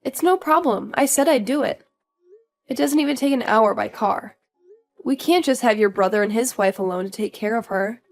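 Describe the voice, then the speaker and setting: A woman acting as a young newlywed wife in an audio story drama, with a fairly high voice. She is patient, dutiful and a little weary, talking politely and evenly over music. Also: normal speed, creaky. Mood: tired, accommodating.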